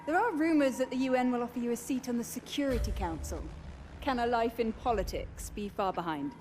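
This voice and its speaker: Polished news anchor, played by a woman; higher voice, crisp broadcast read, score underneath. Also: fairly quick, a little mellow, faint music behind, natural voice. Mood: newsy. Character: polished female TV news interviewer. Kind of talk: video game cutscene acting.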